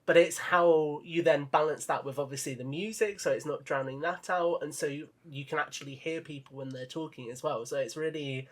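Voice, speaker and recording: A man's voice: a little high, brisk, few pauses. A man talking casually and in detail as a podcast guest, modest about himself and keen on his creative work. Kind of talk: casual enthusiastic podcast chat.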